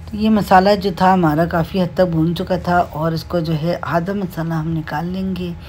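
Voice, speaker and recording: A woman with a Pakistani Urdu accent walks viewers through a home recipe, her voice low and mellow. She talks in a relaxed, homely way, padding her steps with fillers. A faint background hiss sits under the close voice-over. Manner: unhurried, homely explaining with many fillers. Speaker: cooking channel host. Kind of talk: home cooking tutorial voice-over. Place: home kitchen.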